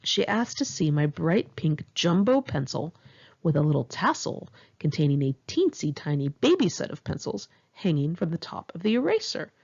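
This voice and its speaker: Low-pitched woman, Californian accent, husky, creaky, expressive memoir narration, slightly dull recording. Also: moderate pace. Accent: Californian American. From Los Angeles area, California, United States. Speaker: author reading own memoir. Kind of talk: narrated memoir audiobook, one-take home reading.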